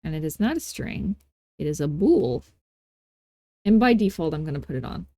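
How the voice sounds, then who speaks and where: Low, mellow-voiced woman giving a casual tutorial. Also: sing-song, normal speed, no room sound, clean studio sound. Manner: playful, self-deprecating asides, folksy informal grammar. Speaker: tutorial host. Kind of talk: casual, unscripted software tutorial narration.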